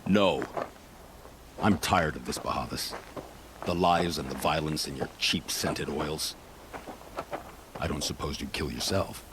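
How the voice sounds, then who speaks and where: An actor playing a weary, disillusioned soldier in a video game, speaking in a grim, subdued way. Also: male voice, a bass voice, measured pace, pauses often. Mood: weary contempt. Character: weary, disillusioned male soldier, grimly resolute. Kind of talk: dramatic video game acting. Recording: clear noise behind the voice.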